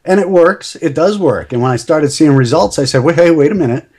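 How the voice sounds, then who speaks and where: A man's voice: a little high, lively intonation, fairly quick, darkish tone. A middle-aged man talking casually as a podcast guest, chatty and self-deprecating, laughing at himself often. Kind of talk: casual interview chat.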